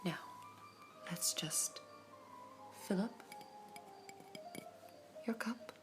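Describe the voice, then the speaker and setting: A woman voicing a serene all-seeing female gem in an ASMR roleplay, whispering softly in a measured, formal way. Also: medium pitch, music in the background. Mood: calm whispering.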